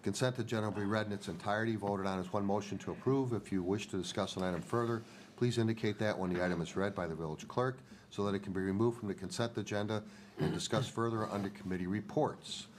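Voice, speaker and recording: A man's voice: lowish voice, quick pace. An older American man chairing a village board meeting, switching between procedure and casual remarks, nasal from a head cold. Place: municipal board meeting hall. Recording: noisy public meeting room microphone.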